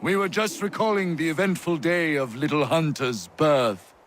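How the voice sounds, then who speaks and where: An actor playing a blunt but kindly colonial country doctor in a video game, wry and plain-spoken. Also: male voice, fairly high pitch, moderate pace, a bit bright, a little hiss or hum, natural voice. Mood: fond.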